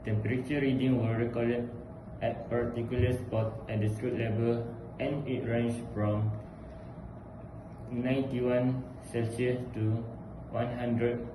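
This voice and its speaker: A man's voice: mid-range voice, flat delivery, takes it slowly. Man explaining formally in echoey noise. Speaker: interviewee explaining a building's design problems. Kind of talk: rehearsed explanatory interview answers. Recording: echoey, noisy ambient recording.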